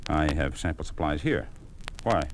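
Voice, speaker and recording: Principled doctor, American, deep voice, lively intonation, 1950s radio acting, faintly noisy old recording. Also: male voice, moderate pace. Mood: concerned, professional. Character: principled, steady male physician in private practice.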